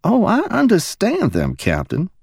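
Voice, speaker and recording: A man voicing a poised woman security officer who speaks softly and persuasively in a silky Alabama drawl. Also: sits high, huge pitch swings, measured pace, vocal fry, breathy edge, no room sound, clean studio sound, voice pushed up, exaggerated voice. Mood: silky, insistent. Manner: silky, soft-spoken.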